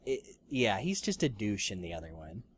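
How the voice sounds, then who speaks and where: A man's voice: fairly low pitch, sing-song, normal speed, slight background noise. A man co-hosting a casual film review podcast, rambling with lots of fillers and restarts. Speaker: podcast co-host.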